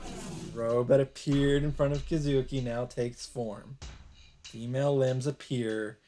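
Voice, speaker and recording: A man narrating a visual novel aloud as a let's player, in a measured, casual read-aloud delivery. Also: mid-range voice, fairly even intonation, mellow, a little hiss or hum.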